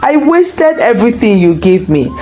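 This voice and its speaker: A woman's voice: fairly high pitch, big pitch swings, normal speed, warm tone, slight background noise, pitched above the performer's own voice. A woman voicing a remorseful young prodigal son in a folktale, pleading and emotional. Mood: pleading shame.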